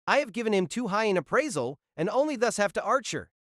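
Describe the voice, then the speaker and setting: A man's voice: high-pitched, big pitch swings, fast, no echo, studio quality. A man playing a stern, self-controlled clergyman in an audio drama, formal, grave and literal-minded. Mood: formal, restrained. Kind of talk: audio drama character acting.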